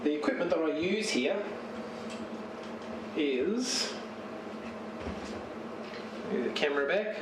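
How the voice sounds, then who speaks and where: Man, roomy and distant, halting delivery. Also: a little high. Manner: halting, searching for words as he handles gear. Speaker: amateur radio and TV hobbyist. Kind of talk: casual unscripted show-and-tell of a home radio setup. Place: home radio shack. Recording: roomy, distant mic with steady noise.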